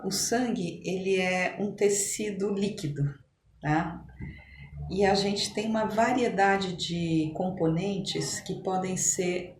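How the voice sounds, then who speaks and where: A middle-aged woman talks conversationally as an interview guest, explaining things with pauses to search for words. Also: medium pitch, steady tone. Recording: echoey room, clear background noise.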